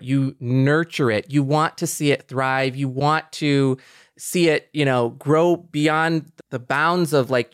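A man's voice: mid-range voice, no echo. Male podcast co-host, mid-range voice, thoughtful and earnest, thinking aloud in a very dry space. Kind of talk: reflective podcast conversation.

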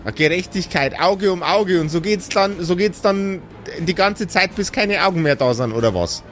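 A man's voice: sits high, lively intonation, moderate pace, fairly bright tone, soft background music. A man voicing a scolding, exasperated mother of grown sons with a Bavarian-Austrian accent, nagging and sarcastic, in an improvised fantasy audio play. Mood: stern, nagging. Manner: nagging, sarcastic, rhetorical questions.

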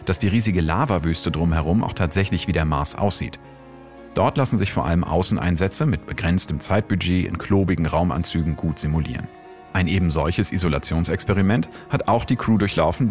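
A man with a deep voice narrates science in a measured, explanatory way. He sounds like the host of a scripted documentary, with music playing behind him. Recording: clear music bed behind.

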